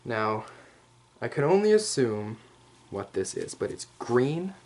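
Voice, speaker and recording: A man's voice: mid-range voice, big pitch swings, slow, a little mellow. A man doing an improvised, casual ASMR roleplay show-and-tell, rambling with jokey asides and swearing. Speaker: ASMR roleplay host showing props.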